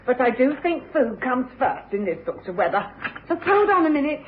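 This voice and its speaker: A woman's voice: sits high, very animated, moderate pace. An actress playing a bustling, motherly, fussy housekeeper with a British accent in a radio drama. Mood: fussing, chatty. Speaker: supporting actress. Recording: noisy, tinny old radio recording.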